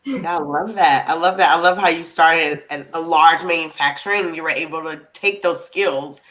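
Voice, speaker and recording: Low-voiced woman co-host. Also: normal speed. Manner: warmly affirming.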